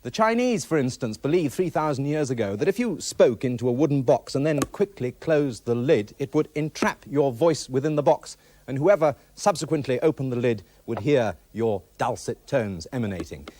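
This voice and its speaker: A man's voice: medium pitch, lively intonation, moderate pace, a little mellow. A man with a British accent presenting scripted documentary narration. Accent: British English. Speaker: television presenter.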